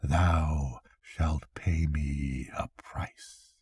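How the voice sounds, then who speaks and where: A grandfather voicing a cunning young witch in a bedtime story, coaxing and chanting as she peddles dark spells. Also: very deep voice, fairly even intonation, husky, no room sound, clean studio sound, voice pushed down, full character voice. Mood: coaxing, sly. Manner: chanting, repetitive, drawn-out coaxing.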